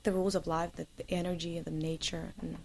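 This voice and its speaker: A young woman with a Russian accent, a singer and interview guest, answering in an earnest, halting way. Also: on the low side, little pitch movement, gravelly, darkish tone, a little hiss or hum. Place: Los Angeles area.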